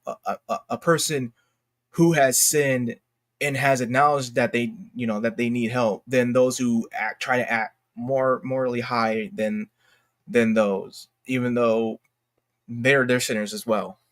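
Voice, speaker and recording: An American man giving casual, unscripted online commentary in a halting, repetitive, slangy way. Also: medium pitch, fairly even intonation. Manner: halting and repetitive, slangy, addressing viewers as y'all. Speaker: online video commentator and host. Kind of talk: casual unscripted commentary, reading posts aloud.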